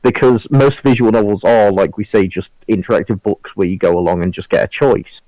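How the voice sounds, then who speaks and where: A man's voice: lowish voice, a little fast, warm tone, no echo, poor audio. A man talking in a casual group discussion as a podcast panelist.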